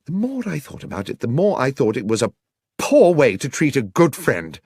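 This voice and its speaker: A man voicing an affable, slightly wounded gentleman in an audiobook, with a chatty, animated, lilting manner. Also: medium pitch, moderate pace, slightly rough, no room sound, studio quality, voice pushed up, exaggerated voice. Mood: mildly hurt.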